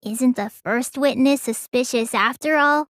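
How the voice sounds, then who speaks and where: A woman voicing a petulant, proud teenage girl mage for an English video game, with a childlike, listless manner that can turn excitable. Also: high voice, a little slow, dry recording, studio quality. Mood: matter-of-fact. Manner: childlike, listless drawl with sudden excitable bursts.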